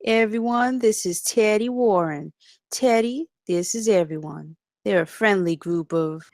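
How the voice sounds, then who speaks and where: A woman's voice: mid-range voice, a little slow, darkish tone, no room sound, studio quality, natural voice. A woman reading a chatty campus security staffer at a script table read. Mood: friendly.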